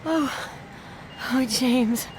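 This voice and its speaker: A woman's voice: high voice, extremely slow. An actress playing a loving, exhausted new mother in a video game, speaking tenderly with sighs. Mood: overjoyed, drained. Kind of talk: emotional video game acting. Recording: clear background ambient noise.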